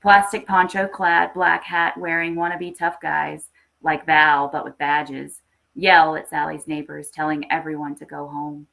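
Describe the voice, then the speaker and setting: Woman podcast host, slightly low voice, reads stories and chats, pretty enthusiastic. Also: level tone, a little slow, gravelly, bright, forceful tone, rough recording. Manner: enthusiastic, chatty. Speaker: podcast host and story reader. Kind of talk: dramatic story reading, then casual live hosting.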